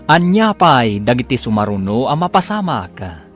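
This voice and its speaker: A man with an Ilocano accent announcing a radio drama, his delivery slow and drawn out. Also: medium pitch, sing-song, a bit bright. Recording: music bed under the voice.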